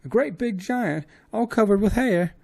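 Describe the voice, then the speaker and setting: Shrill wailing servant woman, put on by a man. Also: moderate pace, creaky, a little mellow. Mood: wailing terror. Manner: shrill, wailing, high falsetto.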